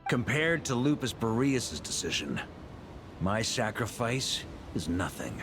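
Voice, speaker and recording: A man's voice: on the low side, very animated, unhurried. A man playing a bold, wry knight warrior in a video game, with resolute, theatrical delivery. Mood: defiant. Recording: loud music behind the voice.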